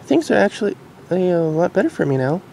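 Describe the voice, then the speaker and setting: A man's voice: high-pitched, big pitch swings, fairly quick, creaky, mellow, slight background noise, pitched above the performer's own voice, full character voice. A man voicing a shy, sweet, grown-up pegasus mare in an amateur cartoon fan-fiction reading, hesitant and stammering. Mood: reassuring.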